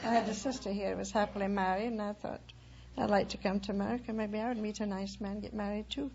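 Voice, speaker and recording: A woman's voice: medium pitch, steady tone, quick pace, gravelly. A woman in her sixties from Glasgow with a Scottish accent, chatting casually as a contestant on an old radio quiz. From Glasgow, Scotland. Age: 60s. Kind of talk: casual interview answers. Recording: very noisy, dull old radio recording.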